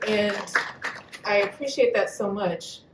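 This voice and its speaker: A woman's voice: mid-range voice, slow pace, recorded from a distance. A woman on a live panel tells personal stories bluntly, swearing freely. She sounds set back from the mic in an echoey hall, with faint background noise. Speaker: panelist poet. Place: venue hall at a live reading.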